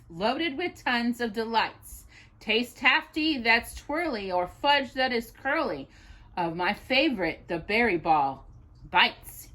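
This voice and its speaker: A woman reading rhyming children's poems aloud as a storytime host, in an expressive sing-song way, as if to a child. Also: on the high side.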